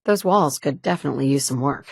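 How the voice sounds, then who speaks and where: A woman's voice: very deep voice, quick pace, creaky, slightly rough, no room sound, heavily acted. A woman playing a determined mother turned hardened wasteland survivor, speaking firm, direct lines of game dialogue. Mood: matter-of-fact. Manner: firm, direct, dry and commanding. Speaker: player character voice. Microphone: studio mic, close.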